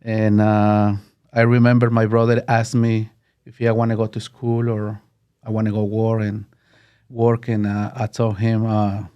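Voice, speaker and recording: A man in his fifties from Mexico, a native Spanish speaker with a Mexican accent, speaking as a guest in a casual interview and often pausing to search for words in English. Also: on the low side, monotone, clean tone, highs cut off.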